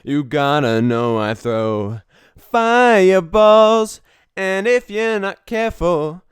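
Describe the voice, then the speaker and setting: A man's voice: high-pitched, animated, takes it slowly, smooth, voice pushed up. A man singing unprocessed vocal takes as Mr Bob, a man looking for a job, with a whispery voice over a music track. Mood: sung.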